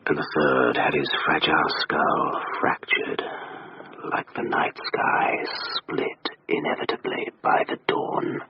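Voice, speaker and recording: An actor playing a railway station as an ominous spirit, narrating poetically in a slow, solemn, hushed manner for radio drama. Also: male voice, rumbling low, fairly even intonation. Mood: grim, ominous. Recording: muffled radio recording, faint noise.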